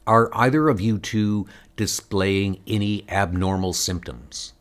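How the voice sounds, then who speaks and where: A man voicing a grave, earnest older scientist hero in a comic book dub, explaining things deliberately. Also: fairly low pitch, fairly bright tone. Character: grave older male scientist hero hunting missing niece.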